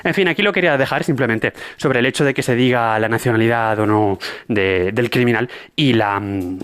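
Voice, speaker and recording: A man's voice: medium pitch, fairly quick, a bit bright, smooth. A man hosting a casual solo podcast, chatty and self-correcting, with wry asides. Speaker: podcast host.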